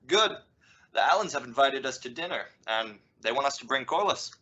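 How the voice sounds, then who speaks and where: Woman playing a low, animated, sarcastic radio dad. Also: brisk, slightly rough, exaggerated voice. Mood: wryly sarcastic. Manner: dry sarcasm with emphatic swoops. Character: wry, exasperated middle-aged suburban father, sarcastic but loving. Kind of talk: old-time radio sitcom acting. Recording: dull, lo-fi audio.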